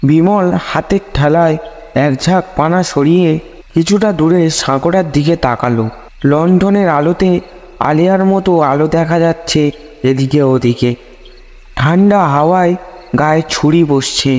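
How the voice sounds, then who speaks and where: A man's voice: fairly high pitch, normal speed, darkish tone. A man reading a scripted ghost story as the narrator. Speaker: narrator of a horror cartoon story. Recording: long hall-like reverb on voice.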